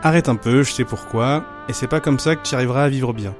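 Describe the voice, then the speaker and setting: An actor playing a caring, insistent high-school boy, a loyal friend, in an amateur audio drama. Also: quick pace, music in the background, natural voice. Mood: insistent, encouraging.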